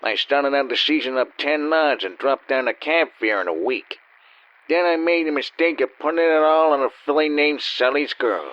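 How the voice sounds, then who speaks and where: A man's voice: fairly high pitch, moderate pace, close to an everyday voice. Man acting a wry hardboiled gambler, dull recording. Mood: wry, cynical. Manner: wry, world-weary, deadpan. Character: down-and-out cynical male gambler and con man. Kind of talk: hardboiled noir radio play narration. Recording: dull, slightly lo-fi.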